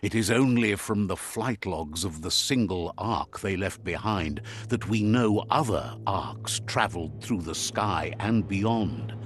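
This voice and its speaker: A man playing a wry, theatrical storyteller who narrates a post-apocalyptic fable in a video game with deliberate, whimsical delivery. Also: low voice, expressive. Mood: solemn storytelling. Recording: clear background noise under the voice. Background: game ambience and noise.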